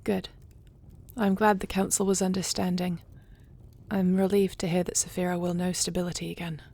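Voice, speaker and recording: A woman's voice: fairly low pitch, level tone, moderate pace, warm tone, slightly rough. A woman playing a devoted dragon queen and wife, fierce yet tender, speaking formally and intimately in an audio roleplay. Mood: composed, caring. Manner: intimate, formal storybook speech.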